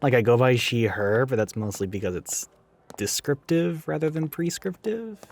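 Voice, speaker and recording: A man's voice: medium pitch, lively intonation, moderate pace, slight background noise, natural voice. A man playing a chatty, sarcastic, gender-ambiguous retail coworker who goes by she, in a casual, rambling audio roleplay addressed to the listener. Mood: candid, wry. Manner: rambling, sarcastic, flippant, with sighs and chuckles.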